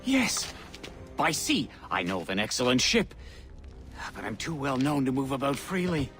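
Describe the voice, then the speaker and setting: A man's voice: mid-range voice, sing-song, moderate pace, fairly bright tone, soft background music. An actor playing an aging, self-important statesman turned rebel, theatrical and wry, in a dramatic video game. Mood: fussy, pleased.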